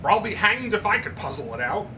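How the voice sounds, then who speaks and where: A grumpy middle-aged businessman as a male author acts him out blusters with old-fashioned indignation. His voice is raised and forceful, recorded with clear background noise in a storybook reading. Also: high voice, very animated, quick pace, recorded from a distance, voice pushed up. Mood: baffled.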